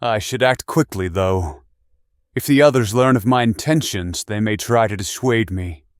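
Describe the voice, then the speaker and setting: A man's voice: on the low side, normal speed, no echo, natural voice. A man playing a proud, resolute young knight in an audio drama, speaking formally and earnestly. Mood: brooding.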